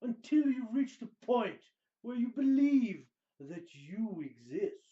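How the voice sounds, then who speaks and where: Man putting on a slow, high-pitched theatrical wizard. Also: big pitch swings, muffled recording, exaggerated voice. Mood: dramatic, deliberate. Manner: grandiose, drawn-out delivery with heavy pauses. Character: pompous theatrical wizard dramatically reading books aloud. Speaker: host. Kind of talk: melodramatic dramatic reading.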